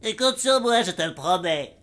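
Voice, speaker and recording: A woman's voice: on the low side, expressive, normal speed, loud music behind the voice, natural voice. A woman voicing an earnest, curious and sceptical schoolchild in a French cartoon. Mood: curious, eager. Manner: earnest, matter-of-fact, curious. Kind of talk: French animated cartoon dubbing.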